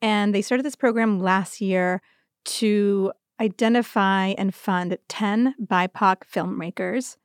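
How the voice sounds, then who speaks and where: A woman's voice: medium pitch, takes it slowly, smooth, dry recording, clean studio sound. A young adult woman chatting casually and enthusiastically as a podcast co-host, often breaking into giggles.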